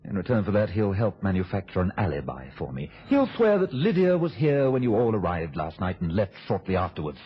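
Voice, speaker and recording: An actor playing a tense, cornered murder suspect in a radio thriller, speaking in a clipped and formal way. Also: male voice, deep voice, huge pitch swings, a little fast, few pauses. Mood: coldly resigned. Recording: noisy, dull old radio recording.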